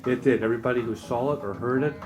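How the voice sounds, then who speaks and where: A man's voice: medium pitch, fast talker. A man interviewing at an audio trade show in a casual, effusive and appreciative way. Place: indoor trade show demo room. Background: music.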